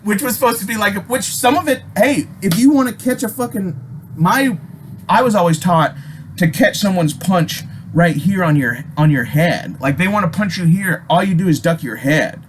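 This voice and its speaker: Higher-voiced American man swearing through a story. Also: lively intonation, moderate pace, slight background noise. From Alaska, United States. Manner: profanity-heavy, emphatic, with abrupt stops and repeats. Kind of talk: casual personal storytelling, rambling.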